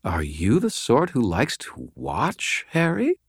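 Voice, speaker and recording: Man putting on a haughty, high-voiced sultry noblewoman. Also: huge pitch swings, slow pace, breathy edge, no room sound, studio quality, heavily acted. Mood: sultry, teasing. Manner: slinky, sneering, aristocratic.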